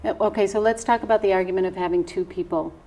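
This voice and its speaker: A woman's voice: mid-range voice, steady tone, fast talker, dark tone, slight background noise. A woman with an American accent hosting an interview, asking questions in a conversational way. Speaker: interviewer, host.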